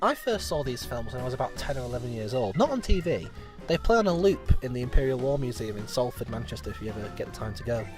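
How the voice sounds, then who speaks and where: A man's voice: mid-range voice, big pitch swings, quick pace, music in the background. A young adult man with a British accent hosting a retrospective video commentary. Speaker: reviewer and host.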